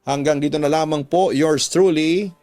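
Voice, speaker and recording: Male Filipino radio drama narrator, slightly higher register, dramatic letter-reading delivery, music bed underneath. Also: moderate pace, a little mellow. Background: background music.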